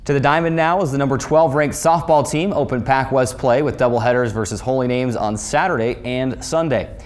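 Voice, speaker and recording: A man's voice: mid-range voice, a little fast. Male sportscaster reading a scripted recap. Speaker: sports show host and play-by-play voice.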